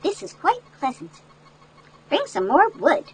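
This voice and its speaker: A woman's voice: very high-pitched, unhurried, a little hiss or hum, exaggerated voice, poor audio. Older woman reading as a polite, unflappable young man. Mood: serene contentment. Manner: courteous, calm, unbothered. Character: polite, unflappable young Chinese brother from a storybook.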